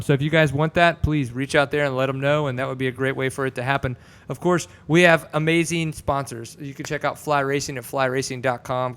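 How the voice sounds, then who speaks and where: A man in his thirties hosting a casual podcast show, upbeat and swearing casually as he chats and reads sponsors. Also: medium pitch. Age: 30s. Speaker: host. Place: studio set with couch. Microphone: boom mic.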